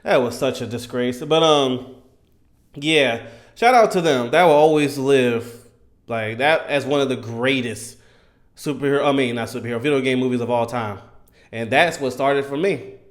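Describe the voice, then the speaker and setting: A man in his thirties giving casual, enthusiastic fan commentary in an animated, emphatic, slangy way. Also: mid-range voice, moderate pace. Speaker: gaming content creator.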